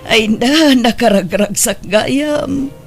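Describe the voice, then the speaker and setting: A woman's voice: a little high, slow. A woman playing an older mother and doting grandmother, anxious and remorseful, in an Ilocano-accented radio drama. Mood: tender, delighted. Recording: music bed behind the voice.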